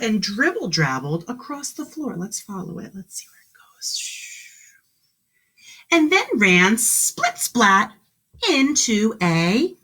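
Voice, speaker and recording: A woman teacher reads to small children in a husky voice with very lively intonation. She takes her time, exclaiming, asking questions and explaining as she goes. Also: medium pitch. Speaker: kindergarten teacher. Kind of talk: read-aloud to young children with explanations and questions.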